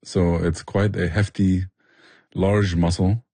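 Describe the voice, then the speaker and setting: A man's voice: low voice, little pitch movement, slow pace, dark tone, no echo. A man explaining things with passion in a conversational interview. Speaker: barbecue restaurant owner and chef, interview guest.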